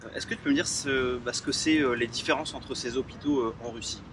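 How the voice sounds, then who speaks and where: A man's voice: mid-range voice, fast talker. A man from France hosting an interview outdoors, asking questions in an easygoing, loose way. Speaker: host. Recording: distant mic with loud street traffic.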